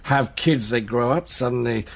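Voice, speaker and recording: A bitter, boozy man in middle age, his voice husky and full of gravel. He rambles and stammers through self-pitying radio drama dialogue, always on edge. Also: mid-range voice, normal speed. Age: middle-aged.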